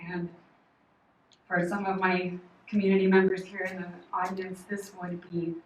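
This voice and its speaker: A woman from Oklahoma giving a prepared public comment at a meeting, earnest, measured and firm. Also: lowish voice, little pitch movement. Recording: echoey hall, distant mic, faint noise.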